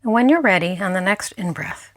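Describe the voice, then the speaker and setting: A woman mindfulness instructor narrating a guided meditation in a gentle, unhurried way, leaving pauses between phrases. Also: medium pitch, lively intonation, no room sound.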